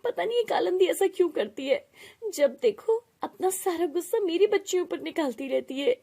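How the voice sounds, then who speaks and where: Woman as a high, plaintive widowed mother. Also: lively intonation, moderate pace, dark tone. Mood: worried, tender. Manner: plaintive, pleading. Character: gentle, wronged widowed mother, protective and pleading.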